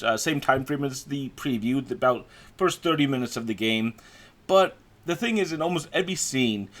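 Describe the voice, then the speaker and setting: A man's voice: a little high, fairly bright tone. A man giving a conversational, opinionated voice-over review as a video game critic.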